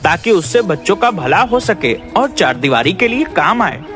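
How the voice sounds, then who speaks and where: High bouncy polite clever young guy, cartoon, music faintly under. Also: big pitch swings, normal speed. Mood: earnest. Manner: respectful, earnest reasoning. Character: wise, polite young man, earnest. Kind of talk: dubbed cartoon acting.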